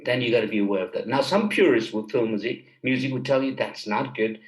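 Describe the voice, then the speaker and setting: A man from Germany speaks as a guest in a casual interview, in a roomy, echoey space. His pitch is middling and his manner is enthusiastic and explanatory, like a filmmaker talking through his craft. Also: lively intonation, fast. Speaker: guest, film director and composer.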